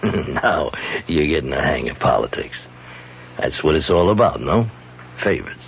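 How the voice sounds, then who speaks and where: An actor playing a blunt, menacing male gangster in a 1970s radio drama, talking in a slow, threatening street manner. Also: rumbling low, big pitch swings, gravelly, slight background noise, full character voice. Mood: mocking. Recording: dull vintage radio broadcast.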